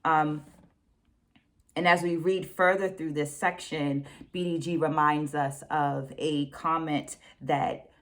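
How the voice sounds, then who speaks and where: A woman with a low voice presents a book discussion to her viewers. She phrases her points deliberately and with emphasis, moving between read-out quotations and conversational asides that check in with the listener. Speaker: book review host. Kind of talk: book discussion to camera, reading quotes aloud.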